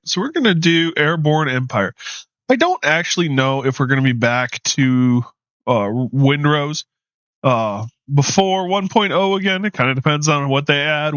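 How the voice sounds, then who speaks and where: Tired, slightly hoarse male streamer. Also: a bit bright, no room sound, dull audio. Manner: low-key, rambling with frequent ums and uhs. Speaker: game streamer. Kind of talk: casual live-stream chat.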